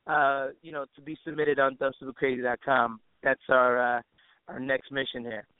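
A man's voice: mid-range voice, little pitch movement, moderate pace, clear, resonant tone, dry recording. A man chatting casually as an interview guest, easygoing, slangy and appreciative. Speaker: guest, musician and entertainer. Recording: tinny dry phone line.

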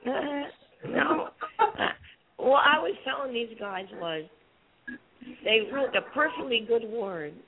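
A woman's voice: a little high, animated, slow, long pauses. A woman with a young-sounding voice, calling into a radio show and rambling playfully. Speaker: caller. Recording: phone line with crowd behind.

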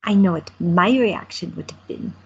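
A woman's voice: on the low side, normal speed, creaky, a little mellow, slightly rough, dull audio, exaggerated voice. An actress playing an elderly grandmother in an audio drama, with dry, affectionate teasing. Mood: chiding. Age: older. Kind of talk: amateur audio drama acting.

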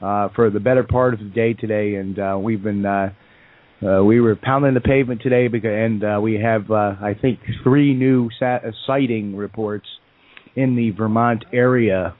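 A male radio host speaking haltingly, with many pauses and restarts, in a light, teasing way. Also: lowish voice, fairly even intonation, no room sound. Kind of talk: casual radio talk. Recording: dry, tinny phone line.

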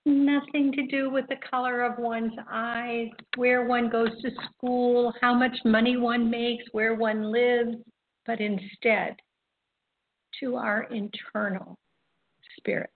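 An older woman hosting an online spiritual radio program, speaking in a reflective, informal monologue with halting, deliberate phrasing. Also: a little high, flat delivery, slight background noise. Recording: dull, phone-like internet radio audio. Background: music.

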